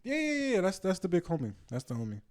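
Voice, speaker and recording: A man's voice: high voice, wildly swooping pitch, quick pace, a little mellow. A young man, a podcast guest whose first language is not English, chatting casually in a loose, slangy, profane way with lots of fillers. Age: young adult. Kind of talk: casual chat.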